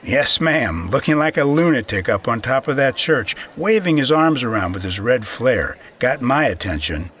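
An actor playing a plain-spoken small shopkeeper interviewed in an audio drama, halting, earnest and folksy. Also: male voice, mid-range voice, big pitch swings, fairly bright tone, husky. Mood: earnest, impressed.

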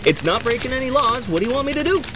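A man's voice: extremely high pitch, animated, racing, noisy background. A man playing an exasperated city mayor brushing off questions with folksy politician's patter in a comedic audio drama. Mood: dismissive. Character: dismissive, exasperated small-minded male city mayor.

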